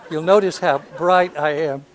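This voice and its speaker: An older man from North Alabama with a soft voice, giving a reflective lecture to an audience, hesitant and full of ums, with gentle self-deprecating humor. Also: fairly high pitch, slight background noise, low-quality recording. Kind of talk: last lecture, reminiscence. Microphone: handheld or podium microphone.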